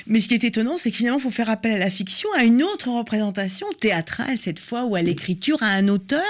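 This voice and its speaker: A woman's voice: fairly high pitch, animated, fast. A woman presenting and asking questions as a radio interview host.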